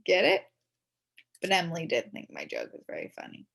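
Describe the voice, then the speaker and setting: A woman reading a children's story aloud in the first person as a kid, expressively, with dramatic emphasis and drawn-out words. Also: lowish voice, huge pitch swings, gravelly, fairly bright tone, slightly rough. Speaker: storybook reader.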